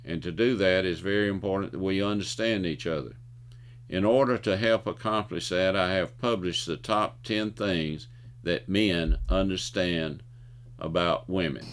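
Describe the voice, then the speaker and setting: A man's voice: deep voice, measured pace. An older man giving scripted, opinionated commentary in a folksy way, now and then stumbling over words as he reads. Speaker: host of a video commentary show. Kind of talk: scripted commentary with jokes and anecdotes.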